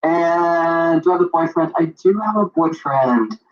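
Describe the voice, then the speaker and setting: A young man from Maine, a photographer and author, rambling in an animated, self-deprecating way as an interview guest on a video call. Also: a little high, unhurried. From Maine, USA. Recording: muffled lo-fi video call audio.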